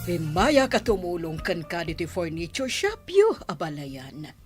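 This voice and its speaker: A chummy middle-aged in-law in a radio drama. She drags her words out slowly and swoops dramatically up and down in pitch. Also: big pitch swings, over music. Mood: chummy, amused. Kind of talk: Ilocano radio drama acting.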